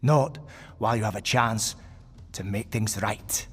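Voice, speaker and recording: A grave stoic super-soldier. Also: male voice, fairly low pitch, measured pace, fairly bright tone, breathy edge, long reverb tail, soft background music.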